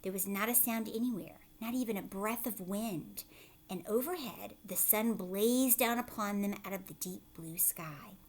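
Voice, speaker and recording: A woman teacher reading a storybook aloud to children, expressive, with explanatory asides and questions to the listeners. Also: mid-range voice, normal speed.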